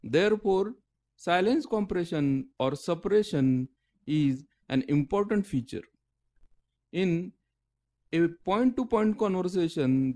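A man narrates a scripted technical lesson at a very slow pace. His voice sits a little higher than average for a man. He reads in halting chunks, often pausing in the middle of a sentence. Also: darkish tone. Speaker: lecturer.

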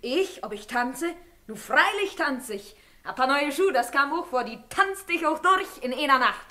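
A woman's voice: high voice, expressive, moderate pace, sharp tone. A woman playing a bossy, thrifty middle-aged carter's wife in a German radio drama, with earthy, scolding talk. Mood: amused, teasing. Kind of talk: mid-century German radio drama acting. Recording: 1960s radio play with music behind.

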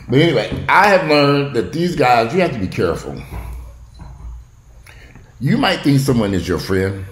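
A middle-aged man giving a blunt, casual, opinionated monologue that wanders off on asides and includes some swearing. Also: deep voice. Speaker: vlogger commenting on news. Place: bright sunroom at home, indoors. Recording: roomy, echoey room with faint noise.

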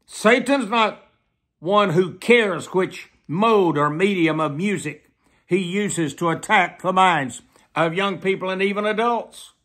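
An elderly man in his seventies preaching a solemn warning, deliberate, repeating phrases for emphasis. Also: high voice, a bit bright. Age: 70s. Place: small plain room indoors.

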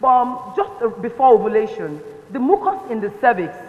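An actress plays a matronly Nigerian nurse in a stage drama, patient and instructive. Her high voice carries through a reverberant hall on a slightly dull recording. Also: sing-song. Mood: patient, explaining. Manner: didactic, deliberate. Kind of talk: stage play dialogue, explanatory. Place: indoor theatre stage set.

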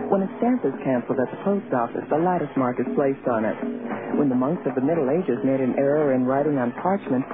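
A male announcer reading a scripted educational radio segment on a tinny broadcast. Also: a little high.

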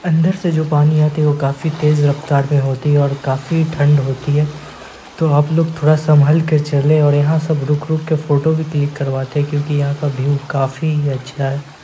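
A man's voice: on the high side, slight background noise, poor audio. A man guiding viewers on a walking tour through a cave with a stream, talking to them as he goes. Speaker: travel vlogger. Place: outdoors in a rocky cave gorge with a rushing stream and waterfall. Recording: flat voice under loud rushing water. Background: loud rushing water.

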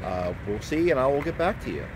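A middle-aged man talking to a handheld camera at arm's length as he walks a city street, in a relaxed, rambling way. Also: medium pitch, fast. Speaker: photography vlogger. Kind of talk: casual walking vlog. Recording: outdoor street noise.

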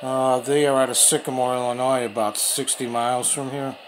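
A man calmly talks through a radio demo at a table indoors, thinking aloud as he tunes. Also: medium pitch, low-quality recording. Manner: plain, unhurried, thinking aloud. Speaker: radio reviewer. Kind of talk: casual hands-on product demo. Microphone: camera mic close to the radio. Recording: loud static and radio hiss behind.

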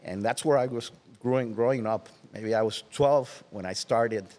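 Relaxed older man with a Puerto Rican Spanish accent. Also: normal speed, long reverb tail. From Puerto Rico. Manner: relaxed, reclining, trailing off into soft low asides. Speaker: guest. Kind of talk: casual interview. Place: large watersports shop, indoors. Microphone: headset.

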